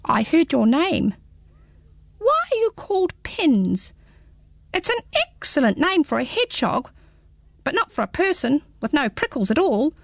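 A woman voicing a small, talkative, cheeky hedgehog in a storybook radio reading, with a high squeaky voice. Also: big pitch swings, measured pace, vocal fry, no echo, studio quality, heavily acted. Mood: chatty. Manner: fussy, talkative.

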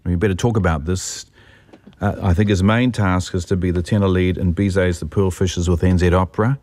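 Kiwi radio host, lowish voice, easy curious questions, dry booth. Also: male voice, fairly even intonation, a little fast, a little mellow, no echo. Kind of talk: radio interview.

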